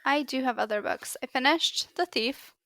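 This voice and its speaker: A woman's voice: high voice, moderate pace, sharp tone, no room sound, clean studio sound. A woman in her thirties or forties, a podcast co-host chatting casually and animatedly about books she has read. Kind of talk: casual book chat.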